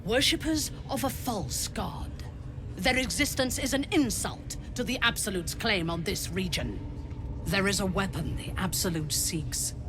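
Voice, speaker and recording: A woman playing a cold, cruel dark-elf zealot commander in a video game, imperious, measured and menacing. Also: medium pitch, close to an everyday voice. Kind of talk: video game character acting.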